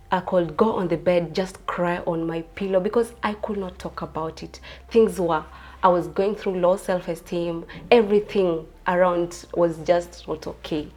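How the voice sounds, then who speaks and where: Kenyan woman in her 20s, lowish voice, earnest, soft, kinda nervous interview answers. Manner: earnest, soft-spoken, with frequent uh and um. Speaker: pageant contestant.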